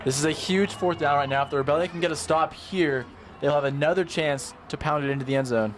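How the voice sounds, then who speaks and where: A man doing relaxed, analytical color commentary for a livestream from an outdoor stadium press box. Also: a little high, fast, heavy background noise. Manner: easygoing, analytical, laughs along. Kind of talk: live sports commentary.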